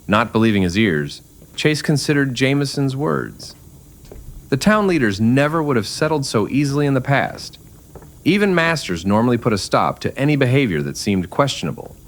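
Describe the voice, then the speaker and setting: A man's voice: mid-range voice, big pitch swings, moderate pace. A man narrating a scripted Western story in the manner of old-time radio drama.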